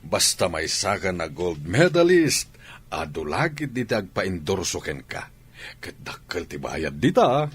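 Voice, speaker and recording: A man's voice: sits low, sing-song, measured pace. A man playing an encouraging coach in an Ilocano radio drama. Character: encouraging male coach of a medalist athlete.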